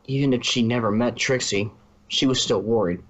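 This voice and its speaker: A man's voice: mid-range voice, moderate pace, a bit bright. A man narrating a fan fiction story as a reader.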